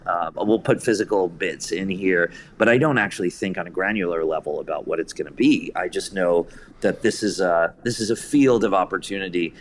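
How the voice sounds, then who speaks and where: A man's voice: low voice, a little fast, a little hiss or hum. An American man talking reflectively as an interview guest about his creative work. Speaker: podcast guest, theater writer and director.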